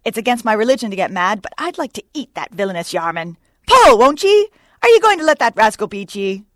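A woman voicing a rowdy male whaling officer who taunts and goads his rowers with forceful exclamations in an audiobook. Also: a little high, big pitch swings, fairly quick, no room sound, clean studio sound. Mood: goading, heated. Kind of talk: audiobook character voice.

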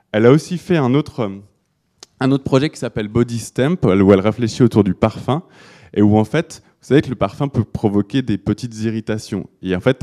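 A man's voice: fairly low pitch, darkish tone. A man giving a live talk to an audience about design, often restarting his sentences. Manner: hesitant, many restarts and fillers. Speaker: speaker presenting to an audience.

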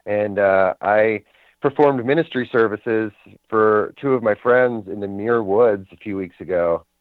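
Low-voiced male guest, philosophical and musing, tinny dry radio sound. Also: unhurried, no room sound. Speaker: guest, minister. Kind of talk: casual radio talk show chat.

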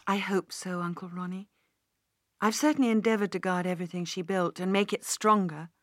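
A woman's voice: on the low side, a little fast, dry recording, clean studio sound, lightly acted. Woman playing a poised, decisive British businesswoman. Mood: modest, warm. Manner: polite but decisive. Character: poised, shrewd businesswoman, loyal and firm.

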